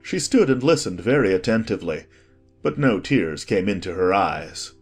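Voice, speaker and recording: A man with a fairly low voice narrates a storybook. His delivery is scripted audiobook narration, with quiet music playing underneath. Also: big pitch swings, normal speed, husky.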